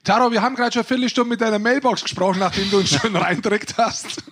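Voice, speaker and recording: Fast-talking man with a higher voice. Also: fairly bright tone, breathy edge. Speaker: podcast co-host. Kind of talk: casual sports analysis.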